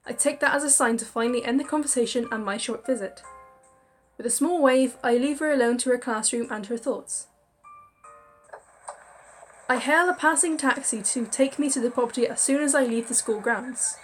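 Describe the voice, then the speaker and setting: Woman reading a story over soft music. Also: fairly high pitch, normal speed. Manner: expressive storytelling, chatty asides. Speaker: game narrator. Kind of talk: reading a visual novel aloud.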